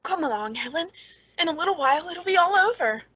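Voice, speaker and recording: A woman's voice: very high voice, expressive, brisk, lo-fi sound, over loud music. A woman playing a cold, gleeful murderess who narrates and speaks in a theatrical radio suspense drama. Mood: coaxing, menacing.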